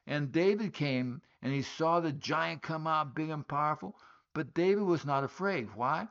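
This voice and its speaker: A man from West Africa preaches in earnest, telling a story and pausing on rhetorical questions. His voice sits at an ordinary middle pitch for a man. The recording is muffled and lo-fi, as if the top end has been shaved off. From West Africa, born in Liberia, raised in Guinea. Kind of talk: sermon.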